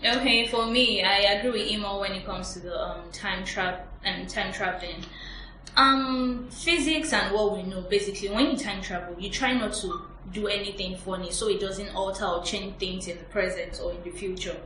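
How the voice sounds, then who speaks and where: A woman's voice: medium pitch, moderate pace, sharp tone. Firm woman panelist in an echoey room. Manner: firm, argumentative, reasoning step by step. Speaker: review panelist. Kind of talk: casual panel discussion. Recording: echoey room with faint noise.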